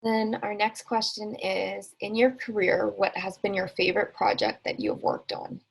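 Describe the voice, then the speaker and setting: A woman with a husky, creaky voice moderating a webinar, reading out prepared questions. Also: medium pitch, normal speed, poor audio.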